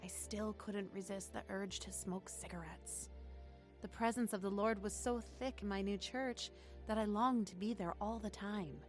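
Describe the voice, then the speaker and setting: A woman's voice: mid-range voice, steady tone, normal speed, darkish tone, faint music behind, close to an everyday voice. An actress playing an earnest young woman who reflectively narrates her testimony in a radio drama.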